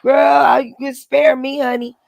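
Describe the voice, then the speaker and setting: A woman's voice: high voice, flat delivery, a little slow, gravelly, darkish tone. A woman chatting casually as a livestream host, gossipy and sassy, with slangy, profane banter.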